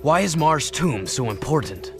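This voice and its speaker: An actor playing a brooding, terse young action hero in a video game. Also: male voice, fairly high pitch, very animated, moderate pace, soft background music. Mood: questioning. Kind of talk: animated video game cutscene acting.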